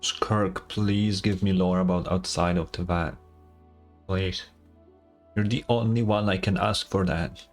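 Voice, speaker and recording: A man's voice: low voice, fairly even intonation, measured pace, faint music behind. A young man giving casual, slangy gaming commentary, often incredulous and swearing. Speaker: streamer reacting to a game.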